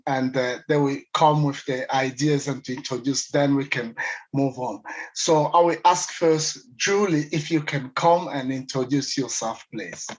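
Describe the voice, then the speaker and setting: A man's voice: mid-range voice, highs cut off. Hesitant man chairing an online panel. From Congo. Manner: hesitant, many fillers and restarts. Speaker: panel chair, mental health researcher.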